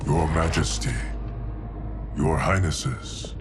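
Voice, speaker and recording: An actor playing a stoic, grave guardian of time in a video game, with stately, formal and deliberate delivery. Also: male voice, a bass voice, fairly even intonation, over loud music. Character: stoic, grave male guardian of a cosmic hourglass. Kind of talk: dramatic video game acting.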